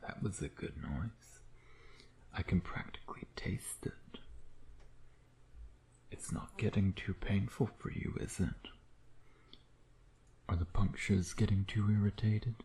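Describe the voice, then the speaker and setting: Suave male vampire played by a man, deep and unhurried, breathy, teasing, predatory; intimate ASMR delivery. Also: fairly even intonation, mellow. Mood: hungry, intimate. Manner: slow, teasing, murmuring with pet names.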